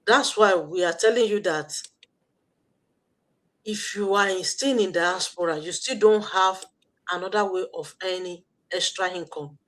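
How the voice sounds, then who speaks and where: A woman's voice: on the low side, unhurried, fairly bright tone, rough recording. A woman with a Nigerian accent hosting an online live stream, giving a motivational talk with a preachy, repetitive manner and frequent fillers. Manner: preachy, repeats points, thinks aloud with fillers.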